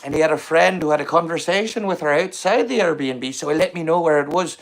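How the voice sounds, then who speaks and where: A man hosting a comedy podcast live from his apartment, thanking viewers for donations with hyped repetition. His voice sits somewhat high for a man. He jumps between chat and shout-outs. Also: a little fast. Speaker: podcast host. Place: apartment in a big city.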